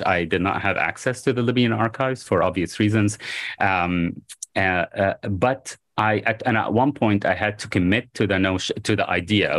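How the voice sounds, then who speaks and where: Man, forties, first language Arabic, low voice, video call audio, chatty and digressive. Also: fairly bright tone. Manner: rambling, many fillers and self-corrections, chuckles. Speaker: historian guest. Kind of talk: conversational interview answer. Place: home room by a window.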